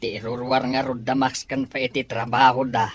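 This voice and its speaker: A woman voicing a fretful older father with a husky voice and an Ilocano accent in an audio drama. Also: a bass voice, little pitch movement, moderate pace, dry recording. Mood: uneasy. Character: fretful older father of grown children overseas.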